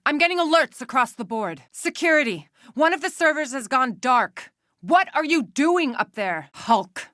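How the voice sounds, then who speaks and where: A woman's voice: high voice, lively intonation, normal speed, long pauses, sharp tone, no room sound, clean studio sound. An actress playing a cold, calculating female villain scientist in a video game, speaking with clipped, precise authority. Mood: angry, threatening. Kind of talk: dramatic video game voice acting.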